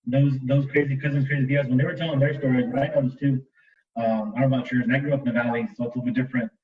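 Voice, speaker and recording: A man's voice: medium pitch, level tone, very fast, far from the mic, dull audio. A man co-hosting a podcast, casually asking the guest a question. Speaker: podcast co-host.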